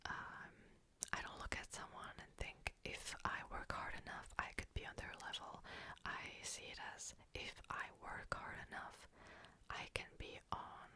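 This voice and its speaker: Young woman whispering asmr q&a, crisp airy whisper, rambly with lots of ums. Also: a little slow. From Czech Republic. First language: Czech. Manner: soft rambling whisper with frequent fillers. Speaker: ASMR creator.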